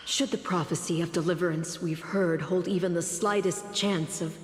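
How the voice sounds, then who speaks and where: A woman's voice: on the low side, steady tone, lightly acted. Woman acting humble pleading heroine, echoing hall. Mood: solemn resolve. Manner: halting, earnest, formal speech. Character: humble mortal heroine pleading for her life. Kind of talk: dramatic video game voice acting. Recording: long echoing hall reverb, music behind. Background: music.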